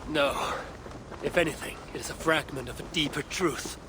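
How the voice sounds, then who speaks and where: An actor playing a proud, obsessive warrior god in a game cutscene, speaking in a solemn, stubborn, declamatory way with a gravelly voice. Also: male voice, fairly high pitch, normal speed, close to an everyday voice. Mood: resolute, solemn. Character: proud, obsessive warrior god seeking his lost son. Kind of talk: dramatic video game cutscene acting. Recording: loud background noise of game ambience.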